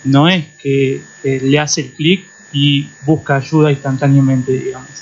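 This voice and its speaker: A man's voice: medium pitch, flat delivery, measured pace, breathy edge. A man journalist, politely asking a guest a long, winding question on the radio. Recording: muffled radio recording with mains hum.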